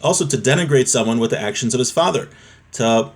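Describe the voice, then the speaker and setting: A man's voice: mid-range voice, expressive, quick pace. A man giving an informal religious text lesson as a teacher, explaining and restating points with everyday examples.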